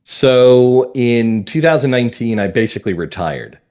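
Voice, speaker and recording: A man's voice: medium pitch, animated, moderate pace, darkish tone. A middle-aged man talking at length in a conversational interview, explaining things as a guest. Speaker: guest, mystery playwright and script consultant.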